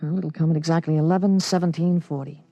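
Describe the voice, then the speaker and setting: A man's voice: on the high side, fairly even intonation, fast talker, vocal fry, warm tone, dry recording. An actor playing a precocious thirteen-year-old boy with an adult's memories, matter-of-fact and articulate, in 1950s radio drama style. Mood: calm, certain. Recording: vintage radio broadcast.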